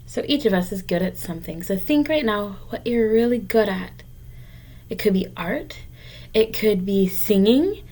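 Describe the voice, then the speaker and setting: A woman's voice: mid-range voice, lively intonation, moderate pace, dark tone. A woman teacher reading a picture book aloud to young children, explaining and encouraging them in simple, direct words.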